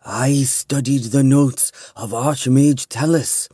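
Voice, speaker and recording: A man reading fantasy fiction aloud, voicing a wounded, sly elf woman who speaks quietly and dryly. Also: mid-range voice, fairly even intonation, slow, slightly rough, no echo, studio quality, pitched above the performer's own voice.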